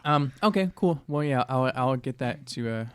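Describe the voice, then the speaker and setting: A man's voice: medium pitch, expressive, moderate pace, clean tone, no room sound, lightly acted. A man playing an awkward, nosy male dean's assistant in a tabletop game, hesitant and stammering with a falsely casual officiousness. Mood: probing, casual.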